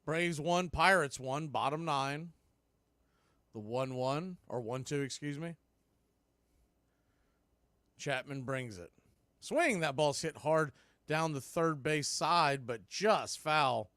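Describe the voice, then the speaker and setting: A man doing radio baseball play-by-play, making steady calls mixed with conversational analysis. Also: a little high, slow, pauses often, no room sound, studio quality. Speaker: play-by-play announcer.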